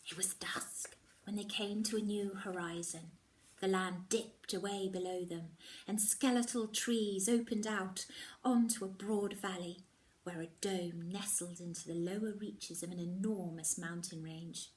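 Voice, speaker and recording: A woman reading a children's novel aloud like a teacher, with expressive, measured narration that dips into hushed tones. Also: mid-range voice, distant mic, slight background noise, poor audio.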